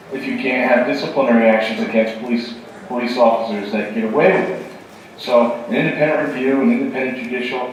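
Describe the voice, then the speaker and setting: A man's voice: mid-range voice, slight background noise. Man, political candidate, emphatic, earnest, restarts sentences, far from mic, very echoey room. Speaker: state assembly candidate. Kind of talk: answering questions at a candidate forum. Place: campus event hall.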